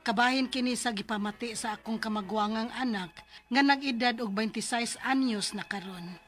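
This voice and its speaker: A woman's voice: on the high side, normal speed, fairly bright tone, faint music behind, lightly acted. An actress with a Filipino Bisaya accent playing a caring, worried middle-aged mother in a radio drama, speaking tenderly. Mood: earnest, recounting.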